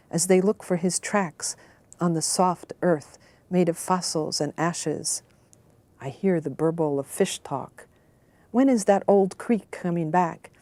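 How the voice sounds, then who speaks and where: A woman with a notably low, mellow voice shares personal words and poetry. Her delivery is reflective and measured. The sound is dry and intimate, with no room around it. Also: no echo. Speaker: poetry reader and research analyst. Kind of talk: personal reminiscence and poem recitation.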